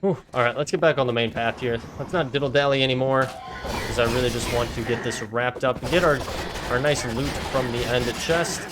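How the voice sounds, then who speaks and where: A slightly hoarse man talks viewers through his game in a relaxed, chatty way, speaking at a mid pitch. Loud game music plays under his voice. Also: brisk. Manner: chatty, addresses viewers as guys, frequent fillers. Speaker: gaming video creator. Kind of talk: casual gameplay commentary. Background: game music and combat sounds.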